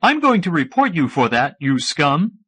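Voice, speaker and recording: A prim older woman from an audiobook story, acted by a man, high and forceful. She is stiff, stern and full of indignation. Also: very animated, normal speed, husky, exaggerated voice. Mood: indignant.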